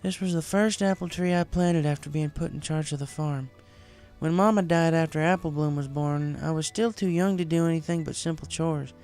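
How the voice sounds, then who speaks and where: A man voicing a caring, hardworking young female farm pony in a storybook reading, gentle and earnest. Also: fairly high pitch, fairly even intonation, normal speed, faint music behind, voice pushed up, lightly acted. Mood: reflective. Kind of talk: acted storybook dialogue.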